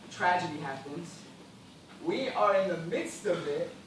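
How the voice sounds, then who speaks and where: A man on stage playing an anguished, bitter gentleman survivor of a shipwreck, declaiming in melodramatic style. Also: fairly high pitch, expressive, a little slow. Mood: brooding. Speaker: stage actor. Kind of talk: stage play dramatic monologue. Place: theatre stage, recorded from afar. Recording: very echoey stage, distant mic, loud noise.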